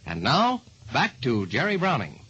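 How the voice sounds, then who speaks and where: A man's voice: mid-range voice, wildly swooping pitch, measured pace, sharp tone, husky, dull audio. A man reading a vintage radio commercial as announcer, in an emphatic salesman's delivery. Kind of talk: 1940s radio commercial pitch.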